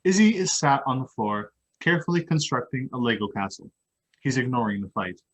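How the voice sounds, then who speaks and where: Man reading stage directions, medium pitch. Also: lively intonation, a little fast, poor audio. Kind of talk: scripted narration of stage directions.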